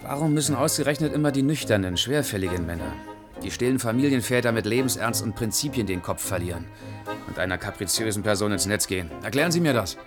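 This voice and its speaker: A man's voice: on the low side, animated, fast, close to an everyday voice. An actor playing a stern, articulate man in a radio play, speaking in an earnest and reproving way. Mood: reproachful, earnest. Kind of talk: 1980s radio play dialogue. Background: clearly audible music.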